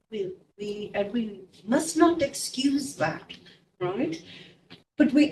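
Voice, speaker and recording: A woman speaking as a seminar panelist, measured and emphatic, with "um" fillers. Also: sits high, a little mellow. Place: conference hall. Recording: echoey room from far off, faint noise.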